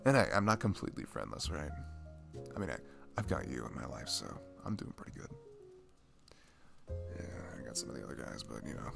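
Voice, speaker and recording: A man's voice: rumbling low, big pitch swings, gravelly, music in the background, exaggerated voice. A man playing a dorky, shy, lonely young best friend in an intimate ASMR roleplay, rambling hesitantly and putting himself down. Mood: shy, downcast. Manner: hesitant, self-deprecating, halting with fillers and sighs.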